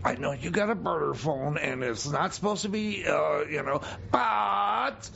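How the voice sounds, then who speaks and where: Man as a creaky, stammering prison officer. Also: fairly high pitch, pushed and bright, muffled recording, a little hiss or hum, full character voice. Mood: scheming. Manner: stammering, repetitive, halting. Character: pushy, bumbling male prison officer, scheming. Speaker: radio skit actor. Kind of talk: improvised comedy radio theater.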